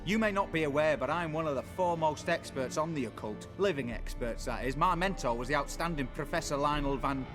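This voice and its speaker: An actor playing a frightened, testy old scholar of the occult in a video game, erudite and suspicious. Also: male voice, a little high, fairly quick, hardly any pauses, over music, natural voice. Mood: bitter. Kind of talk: video game drama acting.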